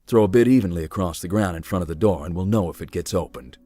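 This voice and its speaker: A man playing a giant, easygoing, wry explorer in a visual novel, talking in a folksy, deadpan way. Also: low voice, fast. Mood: calm, wry. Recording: music behind the voice.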